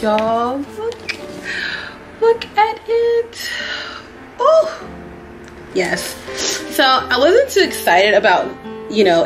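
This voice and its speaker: Excitable young woman unboxing a laptop. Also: medium pitch. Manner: chatty and excitable, addresses viewers as y'all. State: sniffly, getting over a cold. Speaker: vlogger. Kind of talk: casual unboxing vlog. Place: small carpeted living room indoors.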